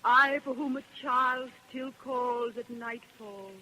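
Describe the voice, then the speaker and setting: A dead woman returned to prophesy in an old radio play. Her voice is bright and slow, full of sorrow and dread, delivering solemn verse over a faintly noisy vintage recording. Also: a little high, steady tone. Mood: mournful, solemn. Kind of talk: 1930s radio verse drama acting.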